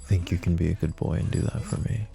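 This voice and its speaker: A man voicing a possessive, menacing mafia boss in a roleplay audio drama, talking slow and taunting, with sweet pet names. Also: sits low. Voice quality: soft, smooth and taunting. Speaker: voice actor.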